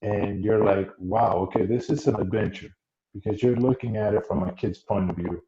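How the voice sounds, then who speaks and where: A man hosting an interview podcast. He often restarts a thought and asks several questions in a row. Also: lowish voice. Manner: talks slowly, stacks up questions with restarts. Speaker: podcast host. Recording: roomy, muffled audio with faint noise.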